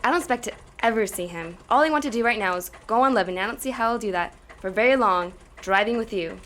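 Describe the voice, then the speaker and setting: A woman's voice: fairly high pitch, fast, a bit bright, close to an everyday voice. An actress playing a brash, sassy young female hitchhiker in an old-time radio drama, with a plain-spoken, wisecracking delivery. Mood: scared, defiant. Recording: clearly noisy radio play recording.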